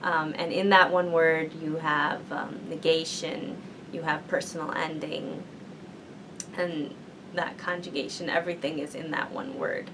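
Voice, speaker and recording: A young woman student speaks in a fairly low voice, thinking aloud as she answers questions and pausing on fillers. A steady hum and rumble sit under the recording. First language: English. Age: young adult. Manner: thoughtful, many ums and likes. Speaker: university student. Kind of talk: interview answers.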